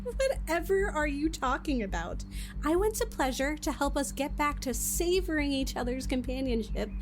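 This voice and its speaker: A woman's voice: high-pitched, very animated, moderate pace, over music. A woman playing a lofty, aggrieved emotion in an audio drama, prim and formal in her flowery phrasing. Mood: affronted.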